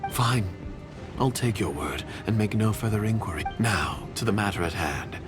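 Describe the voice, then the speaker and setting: A wary, calculating agent from a video game, played by a man, in a lowish voice with very lively intonation. He is guarded and condescending, choosing his words with care, and music plays behind him. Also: normal speed, lightly acted. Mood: disdainful. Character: wary, calculating secret agent, disdainful of nobles.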